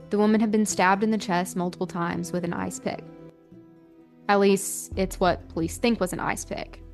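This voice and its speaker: A woman hosting a podcast, telling a true-crime story in a conversational, colloquial way. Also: medium pitch. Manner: casual, colloquial phrasing with frequent "like". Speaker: podcast host.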